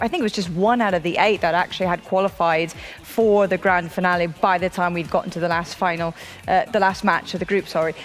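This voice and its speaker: A woman presenting an esports football event, quizzing her co-commentators and introducing the next match. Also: mid-range voice. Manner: lively, teasing her co-hosts.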